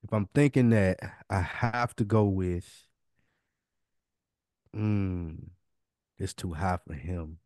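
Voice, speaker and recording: A man's voice: deep voice, slow, pauses often, a little mellow. A man co-hosting a podcast in casual chat, slangy and emphatic, often repeating a phrase to drive it home. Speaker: podcast co-host.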